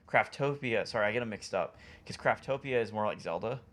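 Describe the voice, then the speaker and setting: Man, lowish voice, podcast co-host, casual video game talk, hesitant explaining. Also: brisk, a bit bright. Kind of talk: casual chat about video games.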